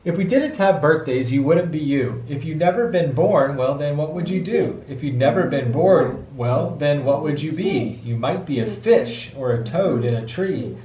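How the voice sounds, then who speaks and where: A man, a father reading a rhyming picture book aloud to a child in a playful, expressive way. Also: a little high, normal speed, hardly any pauses, dull audio. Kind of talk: storybook read-aloud in rhyme. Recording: echoey room with light background noise.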